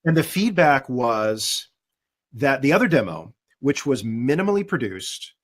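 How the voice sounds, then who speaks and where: Man, mid-pitch, easygoing guest, thoughtful, plenty of ums, video call. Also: unhurried. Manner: thoughtful, relaxed, with many fillers. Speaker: guest voice actor and demo producer. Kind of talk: casual webinar chat.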